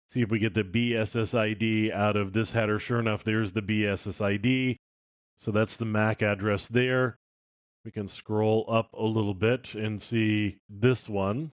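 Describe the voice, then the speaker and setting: A man teaches in the style of a screencast, explaining things as he demonstrates them. His voice sits at an average male pitch. The delivery is informal and unscripted, and the recording is dry, with little room sound. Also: no room sound. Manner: informal, unscripted walk-through. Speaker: instructor.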